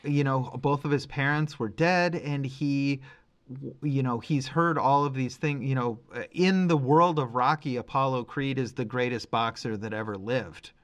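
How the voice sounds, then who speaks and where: A man's voice: medium pitch, moderate pace, fairly bright tone. A man co-hosting a podcast, discussing film casually, making his points emphatically and explaining as he goes. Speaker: podcast co-host.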